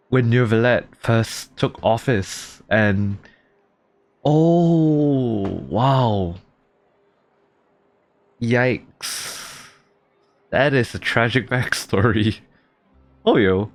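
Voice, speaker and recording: A man's voice: medium pitch, no room sound. A man streaming a game with casual, reactive, rambling commentary. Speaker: gaming streamer.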